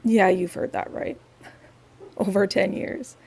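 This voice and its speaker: A woman with a raspy, gravelly voice talks softly and at an unhurried pace in a quiet home studio vlog, dropping wry little asides. A faint hiss of background noise sits under her voice. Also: medium pitch, steady tone. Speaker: crafting vlogger.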